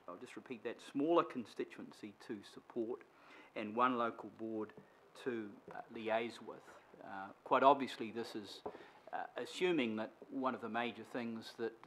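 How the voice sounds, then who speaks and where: Male councillor, mid-pitched, slow, halting questions in a council chamber. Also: steady tone, a bit bright, slight background noise, rough recording. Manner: measured, hesitant, many fillers. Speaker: city councillor. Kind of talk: formal questions at a council meeting.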